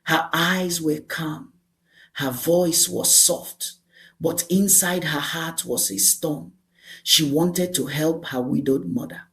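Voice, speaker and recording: A woman narrating a scripted dramatic folktale as a storyteller, speaking deliberately in short, emphatic phrases. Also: fairly low pitch.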